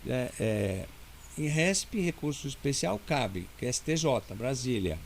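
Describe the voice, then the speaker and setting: Brazilian lawyer explaining things patiently. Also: male voice, medium pitch, lively intonation, measured pace, a little hiss or hum. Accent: Brazilian Portuguese. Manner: didactic, explains step by step with many "né?". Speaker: lawyer guest. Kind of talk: casual interview talk.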